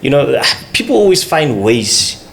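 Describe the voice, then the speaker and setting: A man talks plainly and directly in a relaxed podcast setting, often adding brief words of agreement. His voice is middle-pitched, with a faint hiss in the background. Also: unhurried, gravelly. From South Africa. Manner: plain-spoken, frequent short agreement. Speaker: podcast co-speaker. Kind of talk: casual podcast conversation.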